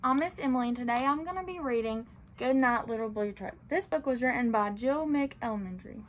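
A young woman with a clearly high voice reads a picture book for young children. She tells it in a sing-song way, talking simply to little listeners. There is faint room noise behind her. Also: brisk. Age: young adult. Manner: sing-song storytelling with simple questions and explanations for small children. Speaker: playschool teacher reading aloud. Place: small tiled indoor room.

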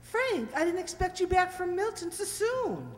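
A woman's voice: very high-pitched, big pitch swings, moderate pace, darkish tone, soft background music. A woman playing a worried, supportive farm wife in an old-time radio drama, earnest and pleading, on a hall stage. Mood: concerned. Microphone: stand microphone. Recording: echoey hall stage recording.

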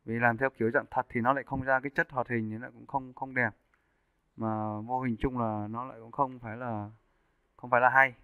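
A man giving a casual, step-by-step software tutorial as an animation instructor. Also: medium pitch, little pitch movement, brisk, smooth, no room sound. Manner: informal step-by-step explaining. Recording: muffled narrowband phone-like audio.

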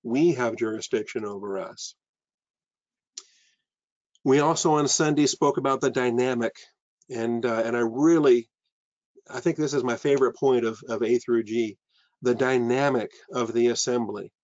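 A man's voice: medium pitch, moderate pace, pauses often, a little mellow, no echo. An older man, a pastor, teaching a sermon over a video call from a room with bookshelves, earnest and full of fillers and restarts. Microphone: webcam on a video call. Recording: dull lo-fi video call audio.

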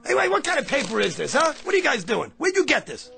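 An actor playing a brash, fast-talking man who claims to be going back in time, with a pushy, street-smart manner in a radio drama. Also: very high-pitched, wildly swooping pitch, gravelly, sharp tone, heavily acted. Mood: panicked. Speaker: lead character. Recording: radio play with music.

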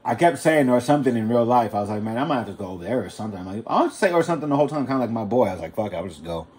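A man's voice: mid-range voice, expressive, rapid-fire, darkish tone. A man, a rapper, chatting casually on a podcast in an informal, slangy, anecdotal way. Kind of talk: casual chat.

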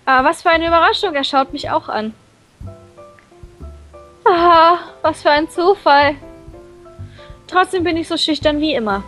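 A woman's voice: very high-pitched, moderate pace, long pauses, fairly bright tone. A woman voicing a shy, flustered schoolgirl heroine with a crush in a visual novel, stammering and hesitant. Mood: giddy, anxious. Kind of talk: visual novel dub reading with inner monologue. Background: music.

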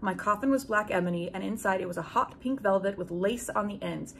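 Woman, twenties, medium pitch, casual YouTube host, wry, animated, informal home recording. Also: brisk, gravelly, slight background noise. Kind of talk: casual chat and reading fan fiction aloud with asides. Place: small room at home with bookshelves.

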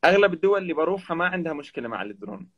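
A young man, a travel vlogger guesting on a live video call from indoors, telling stories in a relaxed, animated way. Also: on the high side, racing, muffled recording. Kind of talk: casual live chat. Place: indoor room. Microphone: video call, webcam.

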